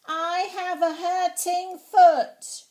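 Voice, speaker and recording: A woman voicing a loud, stroppy little girl in a storybook, shouting and whining in drawn-out words. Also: very high voice, little pitch movement, voice pushed up, heavily acted. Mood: furious, defiant. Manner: shouting, whiny, dragging out words.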